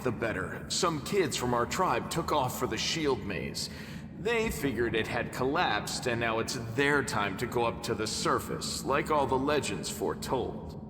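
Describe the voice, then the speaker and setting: An actor playing a cocky, eager young tribesman in a video game, flippant and sardonic in manner. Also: medium pitch. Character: wry young male mongrel underground tribesman, cocky and eager. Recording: echoey hall reverb. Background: background music.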